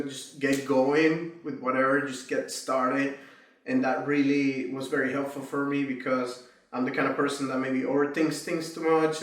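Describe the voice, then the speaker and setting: Man, mid-pitch, relaxed testimonial speaker, recorded from a distance in a reverberant room. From Miami. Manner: casual, reflective, frequent "right?" and "you know". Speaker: course student giving a testimonial. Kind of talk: testimonial interview. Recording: echoey room, distant mic, faint music.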